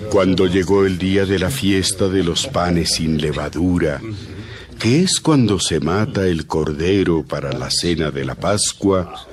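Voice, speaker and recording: A man narrating scripture in a measured, solemn storytelling manner for an audio drama. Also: low voice, music in the background.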